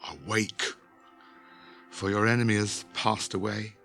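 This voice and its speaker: A man's voice: on the low side, unhurried, creaky, fairly bright tone, soft background music. An actor playing a grave, solemn returned king and healer in a 1980s radio drama. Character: noble kingly male healer and returned king.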